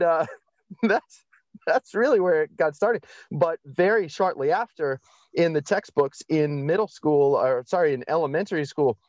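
A man's voice: on the high side, darkish tone, dry recording. A man speaking as a podcast guest, telling and explaining things in a conversational, emphatic way and often correcting himself. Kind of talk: conversational interview storytelling. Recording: slightly dull lo-fi audio.

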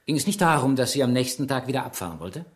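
An actor playing a shrewd, insistent police inspector who probes and presses a suspect in a radio crime drama. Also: male voice, medium pitch, sing-song, fast, lightly acted. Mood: probing, coaxing. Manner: probing, pointed, pressing questions.

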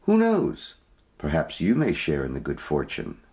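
A brash, boisterous American schemer with a booming, persuasive voice in a radio drama. The American accent is strong, and the pitch swings widely as he presses his case. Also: wildly swooping pitch, unhurried, vocal fry, a little mellow, full character voice. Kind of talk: 1990s radio drama acting.

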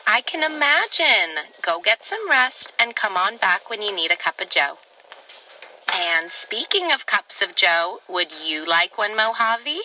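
Woman playing a sharp, sassy diner waitress. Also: medium pitch, very animated, measured pace, lo-fi sound, slight background noise, full character voice. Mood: teasing. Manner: pointed, flirtatious needling. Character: sassy, teasing small-town diner waitress, old flame. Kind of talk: old-time radio drama acting.

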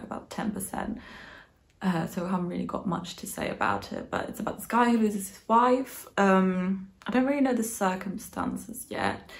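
A woman's voice: lowish voice, vocal fry. A bilingual German and English speaking woman in her twenties, chatting casually and thoughtfully about books to camera. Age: 20s. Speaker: book vlogger. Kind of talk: casual chat to camera, book review. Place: small living room, on a sofa indoors.

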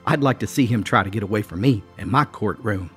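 A man's voice: fairly low pitch, a little fast, faint music behind, natural voice. A man voicing a boastful, cocky male politician and judge who talks in a hearty mountain drawl.

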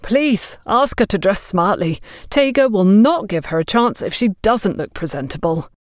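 A woman's voice: medium pitch, expressive, moderate pace, no room sound, clean studio sound, natural voice. A woman voicing an overbearing, gossipy, smugly condescending woman in an audio story, talking down to others in an effusive way. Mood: self-important. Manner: bossy, effusive, talks down to others.

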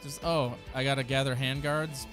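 A man's voice: medium pitch, steady tone, normal speed. An American man in his thirties giving casual, enthusiastic, slangy reaction commentary close to a microphone. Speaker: streamer reacting to game character trailers. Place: small indoor streaming room. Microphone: close desk microphone. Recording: game music behind the voice. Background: music from game trailers.

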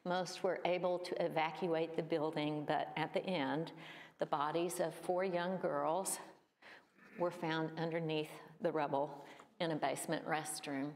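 A woman in her sixties giving an unhurried slideshow talk at a church, with thoughtful pauses and fillers. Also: low-pitched, fairly even intonation, long reverb tail. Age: 60s. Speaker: church presenter. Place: church hall.

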